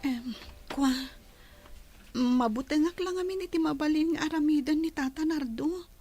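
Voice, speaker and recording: A woman's voice: high-pitched, moderate pace, mellow. A woman playing a timid, worried young daughter in a radio drama, hesitant and polite with her elders. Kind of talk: Ilocano radio drama acting.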